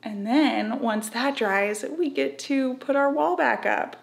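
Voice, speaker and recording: Slow-talking woman, higher voice. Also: creaky. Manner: chatty, admits nerves, laughs often. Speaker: vlogger. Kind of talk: casual renovation vlog.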